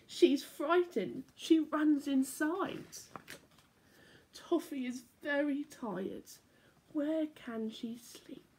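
A young woman reads children's picture books at a slow, unhurried pace, her voice swooping up and down with lively expression. She speaks from a living room into a laptop camera at arm's length, playful and clear for small listeners. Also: high-pitched, a little hiss or hum. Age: young adult. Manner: animated, playful read-aloud with sound effects. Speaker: church children's storyteller. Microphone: laptop or phone camera at arm's length.

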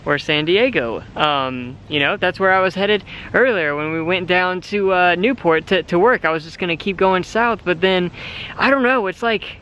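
Candid rambling man, bright voice. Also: high voice, clean tone. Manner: rambling, candid, halting with fillers. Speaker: vlogger and delivery driver. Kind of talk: casual self-filmed vlog talk. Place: inside a parked car at night, then outdoors in a park. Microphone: phone or camera held at arm's length.

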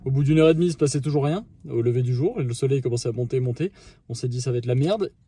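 A man's voice: mid-range voice, very fast, husky. A man in his thirties recapping a fishing trip to camera in casual, slang-filled talk with lots of fillers, filmed in a car on a phone held at arm's length. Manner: slangy, many fillers, excited storytelling. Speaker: fishing vlogger. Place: inside a parked car.

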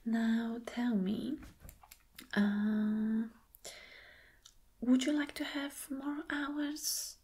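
A woman playing a flirty, caring boss in a soft, breathy, whispered ASMR roleplay, gentle and coaxing. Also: on the high side, steady tone. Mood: flirty, businesslike. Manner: slow, gentle, coaxing, with hums of agreement. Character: flirty, caring female boss courting an employee. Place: small dim indoor room.

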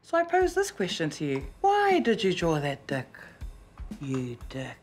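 A woman's voice: on the low side, huge pitch swings, unhurried, a little mellow, soft background music. A young woman from South Auckland with a New Zealand accent, hosting with cheeky, playful comic narration. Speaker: host.

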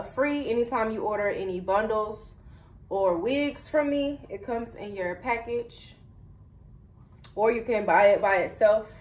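A woman chatting casually to viewers as a beauty vlogger, slangy, informal and candid in how she talks. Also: medium pitch. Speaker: vlogger and wig seller. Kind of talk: casual beauty vlog chat. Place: indoor room. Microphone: phone camera at a distance. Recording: roomy, distant mic, faint noise.

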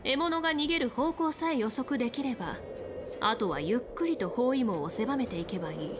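A woman's voice: a little high, expressive, brisk, over music, lightly acted. An actress playing a confident, commanding woman general and seasoned strategist in a video game. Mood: firm, measured. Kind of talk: Japanese video game voice acting.

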